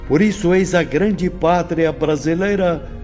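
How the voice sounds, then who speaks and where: A man's voice: a little high, darkish tone, close to an everyday voice. A man playing an impetuous spirit teacher in an audio novel, lecturing apprentices emphatically with a Brazilian accent. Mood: stern, preaching. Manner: emphatic, preaching, slow and deliberate. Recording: dull lo-fi audio with music behind.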